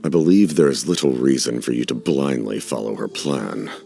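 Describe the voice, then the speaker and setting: A man playing a grave, calculating schemer in a video game, speaking formally and secretively with measured courtesy. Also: extremely low pitch, vocal fry, warm tone. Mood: grave, persuasive.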